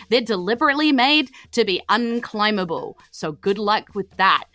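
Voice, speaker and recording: A woman's voice: fairly high pitch, a bit bright. A woman voicing a blunt, bossy male heist planner in a tabletop game, with a grave, dramatic delivery.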